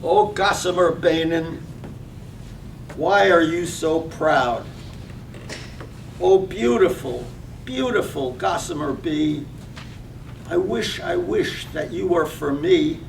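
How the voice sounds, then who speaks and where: A man's voice: expressive, slow pace, vocal fry, pauses often, exaggerated voice. A man acting a wistful, lovesick admirer in a reading of a poetic radio drama. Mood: yearning. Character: lovesick male admirer, wistful, yearning for a woman. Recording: roomy, distant microphone, faint rumbly noise.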